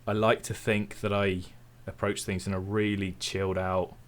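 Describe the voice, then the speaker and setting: A man's voice: fairly low pitch, normal speed. A young man with an English Midlands accent, chatting casually and easily as an interview guest. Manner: relaxed, chatty, self-deprecating. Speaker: tech YouTuber guest.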